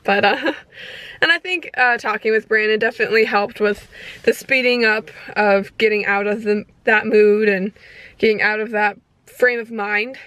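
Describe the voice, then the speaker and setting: Hoarse candid young woman vlogging. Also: medium pitch, steady tone, normal speed, a bit bright. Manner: rambling, candid, self-deprecating. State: hoarse from talking. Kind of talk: casual diary vlog.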